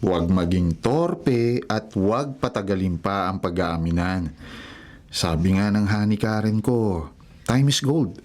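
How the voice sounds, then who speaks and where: Low-voiced teasing Filipino big brother. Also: male voice, lively intonation, a little slow, darkish tone. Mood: breezy. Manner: breezy, casual, ribbing. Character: easygoing, teasing protective older brother. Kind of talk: Filipino radio drama acting.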